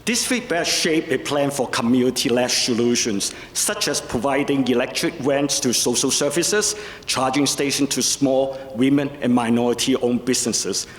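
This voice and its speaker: A man's voice: mid-range voice, moderate pace, few pauses. A man giving a plain, explanatory civic presentation. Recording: roomy hall reverb, faint noise.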